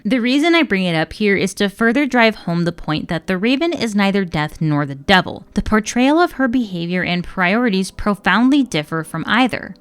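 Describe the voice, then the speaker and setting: A woman's voice: medium pitch, clean tone. Woman narrating an analytical video essay.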